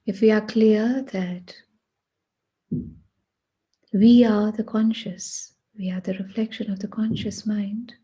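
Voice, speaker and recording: A woman guiding a meditation in a hushed, breathy voice, speaking deliberately in short phrases with long pauses. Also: medium pitch, steady tone. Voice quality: breathy, husky. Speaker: meditation guide. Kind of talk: guided meditation.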